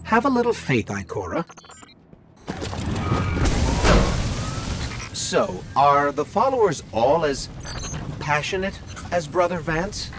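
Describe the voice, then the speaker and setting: An actor voicing a friendly, wry robot companion in a video game, talking in a conversational, earnest way. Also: male voice, fairly high pitch, sing-song, unhurried, loud music behind the voice. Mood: teasing. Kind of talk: video game cutscene acting.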